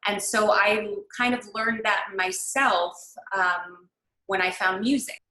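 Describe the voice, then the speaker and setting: A woman raised in the United States guides an online master class, her voice bright and forward. She speaks reflectively, searching for words with frequent pauses and fillers. The video-call audio carries a noticeable echo from the room around her. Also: mid-range voice. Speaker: workshop leader. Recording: echoey room.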